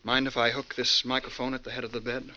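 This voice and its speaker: An actor playing a polite, businesslike superintendent in a 1940s radio drama, speaking in a measured official manner. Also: male voice, mid-range voice, level tone, bright, forceful tone. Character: businesslike, polite institution superintendent taking a statement. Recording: dull, faintly noisy vintage radio recording.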